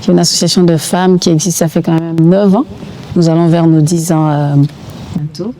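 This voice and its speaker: Middle-aged female interview guest, Congolese French accent, dark mellow timbre, light background noise. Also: sits low, fairly even intonation, normal speed, low-quality recording. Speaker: gospel singer, interview guest. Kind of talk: unscripted interview talk.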